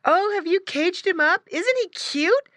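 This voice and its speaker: A woman voicing a sweet, excitable young girl in a children's storybook. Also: fairly high pitch, measured pace, no room sound, voice pushed up, exaggerated voice. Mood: delighted.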